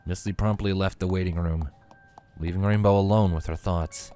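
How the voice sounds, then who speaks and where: A man with a fairly low voice narrates a piece of fan fiction. He reads from a script as a storyteller. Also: steady tone, moderate pace, dark tone, faint music behind.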